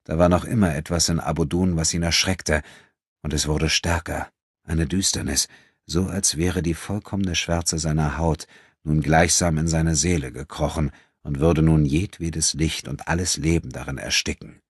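Ein Mann, der als Hörbucherzähler einen literarischen Text dramatisch vorliest. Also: male voice, rumbling low, fairly even intonation, normal speed, husky, dry recording, studio quality. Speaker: audiobook narrator. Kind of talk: dramatic scripted literary narration.

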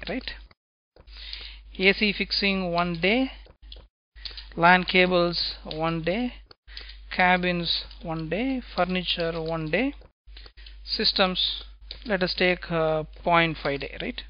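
A man teaching software testing in a screencast lecture, talking step by step and checking in with "right?" after his points. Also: sits high, highs cut off. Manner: slow, deliberate explaining, tag questions "right?".

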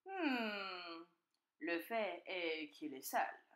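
Woman playing a gloating, hungry fox, theatrically animated. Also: on the low side, very slow, reverberant, heavily acted. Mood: pondering. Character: hungry, boastful, gullible male fox. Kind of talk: children's storybook character voice.

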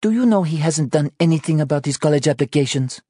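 An exhausted, accusatory mother in an audiobook, acted by a male narrator. The voice is pushed well up in pitch and swings widely as she scolds, with a dry sound. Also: expressive, normal speed, a little mellow, no room sound. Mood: weary, resentful. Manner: accusatory, exasperated. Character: exhausted, angry mother scolding her wayward teenage son. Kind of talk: audiobook character dialogue.

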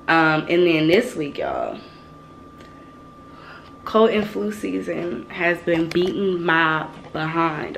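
Laid-back woman vlogger, slightly lower voice, a bit nasal from a cold, faint hum behind, camera held at arm's length. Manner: chatty, laid-back, laughs often. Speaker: lifestyle vlogger. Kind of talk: casual vlog chat to camera. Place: home, then outdoors at a cinema plaza. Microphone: handheld camera at arm's length.

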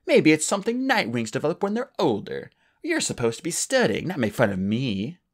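A man's voice: a little high, huge pitch swings, brisk, voice pushed up. A man voicing a lofty, know-it-all young male dragon who huffs and boasts. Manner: lofty, huffy.

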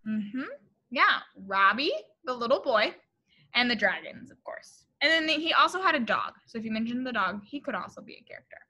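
High, bright young woman reading to children. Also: moderate pace. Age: young adult. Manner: expressive, exaggerated, questioning and addressing young listeners. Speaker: teacher reading a picture book to children. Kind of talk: animated children's story read-aloud. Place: classroom, indoors.

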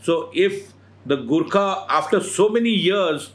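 Older male co-host, Indian English accent, measured and opinionated, somewhat high pitch. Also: lively intonation. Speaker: podcast co-host, editor at large. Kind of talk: casual chat. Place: studio with bookshelves and car photos.

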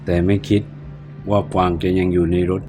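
Low-pitched man narrating a ghost story steadily, faint music behind. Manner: steady, measured telling.

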